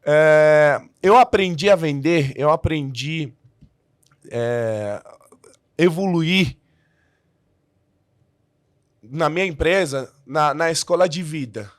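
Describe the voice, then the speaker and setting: A man's voice: on the high side, slow pace, long pauses, no echo, clean studio sound. A man who learned the language as an adult, a podcast guest telling his story in a casual way with many restarts and fillers.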